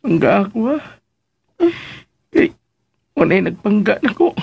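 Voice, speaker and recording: An actor with a Filipino accent playing a playful, lovesick suitor in a radio drama, cooing and sulking. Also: male voice, sits high, big pitch swings, takes it slowly, creaky, slightly rough, dull audio, full character voice. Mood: sulky, coaxing. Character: playful, lovesick suitor who sulks and coaxes.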